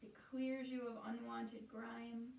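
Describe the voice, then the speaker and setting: A woman guiding a meditation as a teacher, speaking in a soothing, unhurried way with pauses between phrases. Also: on the high side, little pitch movement, vocal fry, darkish tone. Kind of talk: guided meditation. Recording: roomy echo, distant from the mic.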